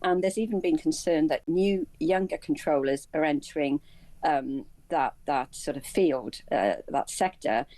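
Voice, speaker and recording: A woman with a low, dark-toned voice speaks as a guest commentator in a news interview. She explains things in halting, self-repeating phrases, and the sound is close and dry. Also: no room sound.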